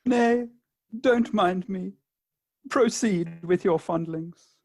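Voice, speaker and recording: An actor plays a jilted gentleman suitor, high-pitched for a man and recorded dry. He declaims with pompous, wounded sarcasm in the manner of a theatrical radio comedy. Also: slow pace, dry recording, soft background music, exaggerated voice. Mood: martyred, sarcastic. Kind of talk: theatrical comic radio play acting.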